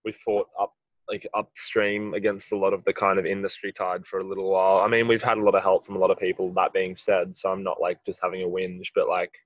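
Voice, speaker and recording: A musician with a husky voice, answering interview questions in a rambling way, full of "like". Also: male voice, deep voice, little pitch movement, fast talker, no echo, highs cut off. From Australia. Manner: rambling, heavy use of "like". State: husky from late rehearsal. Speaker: musician in a band.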